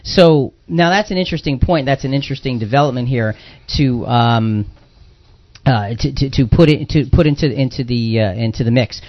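A man's voice: mid-range voice, normal speed, no room sound. Male radio host, dry and slightly dull sound. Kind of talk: conversational Bible discussion on a live radio show.

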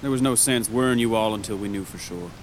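A coolly superior young elf advisor played by a man speaks formally and carefully in a fantasy audio drama. The voice is fairly low, with faint noise behind it. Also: fast, natural voice. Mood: guarded. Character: proud, cautious seventeen-year-old elf courtier, coolly superior.

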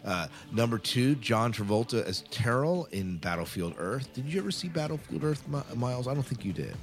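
A man's voice: mid-range voice, big pitch swings, brisk, soft background music. A man co-hosting a podcast, talking casually and chattily to listeners, rambling a little and correcting himself as he goes. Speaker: podcast co-host.

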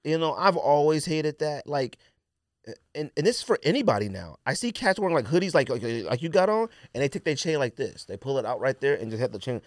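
An American man talks casually as a sports podcast co-host. His pitch swings widely as he makes opinionated, slangy points in an animated manner. The sound is close and dry, with very little room. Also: fairly quick, no echo.

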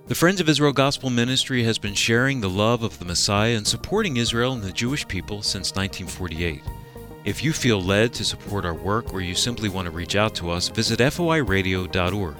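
A man co-hosting a radio program, reading scripted announcements in a deliberate, clearly enunciated way. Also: on the low side, animated, bright, forceful tone, soft background music.

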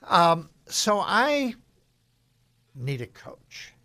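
A middle-aged man hosting a radio talk show, interviewing a guest in a relaxed, conversational way. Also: sits high, extremely slow.

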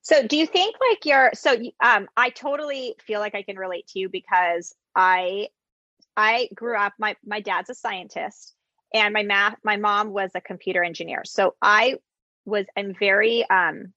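A woman's voice: medium pitch, normal speed, bright, forceful tone, no room sound, muffled recording. A woman hosting a casual interview, chatty, with frequent restarts. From United States, Greek immigrant parents. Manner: chatty, self-correcting restarts. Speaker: interviewer, recipe creator.

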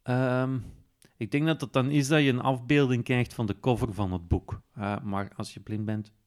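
A Flemish man with a medium-pitched voice walks listeners through software one step at a time. He sounds informal and explanatory, often ending phrases with a small checking tag. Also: fairly even intonation, moderate pace. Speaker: podcast presenter demonstrating an app. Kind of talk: casual step-by-step software walkthrough.

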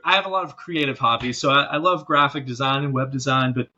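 A man's voice: mid-range voice, fast, pushed and bright. A man chatting casually as an interview guest, heard over slightly dull, lo-fi call audio. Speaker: interview guest, keyboard player. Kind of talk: casual chat.

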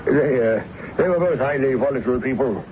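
A male actor plays a loyal, nervous manservant with a medium-pitched voice. He is formally polite and deferential, hesitating and apologising as he goes. It is radio drama with a dull sound and faint noise. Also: lively intonation, brisk, lightly acted. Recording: muffled old radio broadcast, faint noise.

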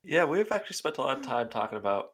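A man's voice: mid-range voice, sing-song, fast talker, fairly bright tone, breathy edge. A man chatting casually as a podcast co-host. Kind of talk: casual chat.

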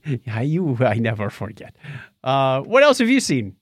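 Middle aged guy podcast host, just chatting, medium pitch, dry close sound. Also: huge pitch swings, slow pace, no echo. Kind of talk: casual chat.

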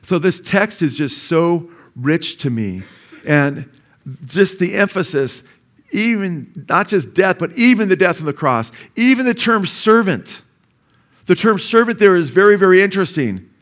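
Californian pastor preaching with emphatic peaks. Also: male voice, high voice, sing-song, a little slow, fairly bright tone. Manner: explains word meanings, builds to emphatic peaks; calls for congregation's amen. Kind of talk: sermon. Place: church sanctuary.

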